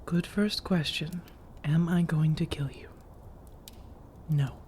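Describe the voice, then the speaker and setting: Woman doing a flirty soothing vampire lady for asmr, soft n mellow, drifts into whispers, bit of noise behind her. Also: sits low, steady tone, takes it slowly. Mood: calm, reassuring. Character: seductive, teasing, reassuring female vampire roommate. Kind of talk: soft-spoken ASMR roleplay.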